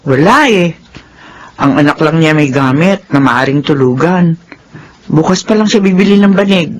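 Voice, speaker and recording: An actress with a Filipino accent playing a chatty, nosy but soft-hearted landlady in a radio drama. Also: low voice, animated, normal speed. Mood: sympathetic. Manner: inquisitive, familiar.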